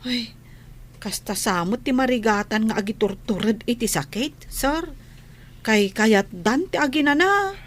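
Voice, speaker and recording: A woman's voice: on the high side, lively intonation, unhurried. A woman playing a sympathetic, deferential employee talking to her boss in a radio drama. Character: sympathetic female employee of a businessman. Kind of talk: Ilocano radio drama acting.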